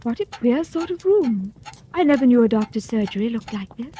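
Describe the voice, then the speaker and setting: A woman's voice: fairly high pitch, lively intonation, fairly quick, warm tone, close to an everyday voice. A woman playing a coy scheming young wife. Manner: coy, wheedling, says "darling" a lot. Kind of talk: 1940s radio acting. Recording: old radio broadcast with hiss.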